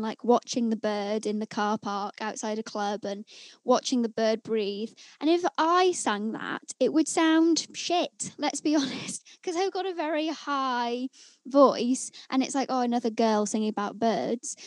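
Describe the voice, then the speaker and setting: A woman's voice: on the high side, a little mellow, no echo. A young woman hosting a casual music review, chatting eagerly and reading from her notes. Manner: chatty, enthusiastic, reads from notes on her phone. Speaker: podcast co-host reviewing songs.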